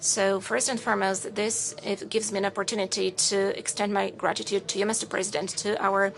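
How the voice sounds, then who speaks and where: A woman interpreting a formal state speech into English with an even, measured delivery and occasional hesitations. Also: mid-range voice, vocal fry, a little hiss or hum.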